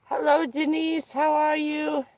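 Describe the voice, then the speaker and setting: A livestreamer chatting casually with viewers, stuffed up and losing their voice, who keeps clearing their throat. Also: sits high, level tone, a little mellow, low-quality recording. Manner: frequent throat clearing, unhurried, rambling. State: congested and losing voice. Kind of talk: casual live stream chat with viewers.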